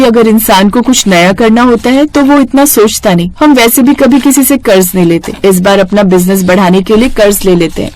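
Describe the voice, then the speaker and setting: A woman's voice: mid-range voice, a little mellow, close to an everyday voice. A woman voicing a practical, outspoken wife of a poor vendor in a story.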